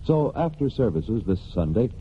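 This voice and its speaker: A man announces in scripted 1940s radio style. His voice is of middling pitch. The recording is thin and phone-like, with faint noise. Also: big pitch swings, moderate pace.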